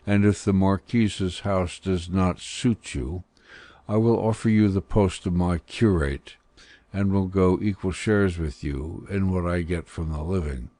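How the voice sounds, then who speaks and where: A man voicing a stern, blunt older priest with hidden kindness, speaking gravely and deliberately in an audiobook. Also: sits low, fairly even intonation, warm tone, dry recording. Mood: earnest, advising. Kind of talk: audiobook character dialogue.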